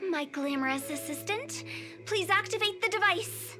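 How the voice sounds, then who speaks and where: A woman's voice: very high-pitched, animated, normal speed. A woman voicing a mischievous, playful young funeral parlor director in an anime video game. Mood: playful, chirpy. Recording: game music behind.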